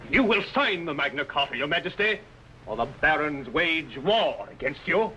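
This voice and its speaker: Casting: defiant medieval archbishop, formal declamation, bright ringing fairly high voice, old TV costume drama. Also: male voice, animated, normal speed. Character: bold, righteous medieval archbishop defying a tyrant. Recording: noisy 1950s television soundtrack.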